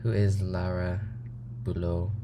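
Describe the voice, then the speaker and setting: A man hosting a podcast, talking casually and haltingly with many fillers. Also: deep voice, level tone, heavy background noise. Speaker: podcast host. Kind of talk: casual interview conversation.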